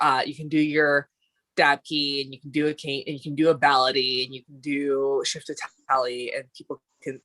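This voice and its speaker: This woman's voice sits a little low and speaks with an easy, meandering looseness. She co-hosts a live stream and chats casually, circling her points with plenty of fillers and restarts. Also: a bit bright. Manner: rambling, many fillers and restarts. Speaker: co-host of a dance talk stream.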